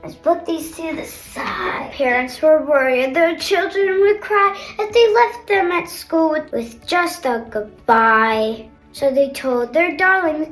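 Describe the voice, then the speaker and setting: A young girl reading a picture book aloud with chatty asides, in an expressive sing-song manner. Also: sits high. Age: child, older sister of a kindergartner. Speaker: storybook reader. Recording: roomy echo, faint background music.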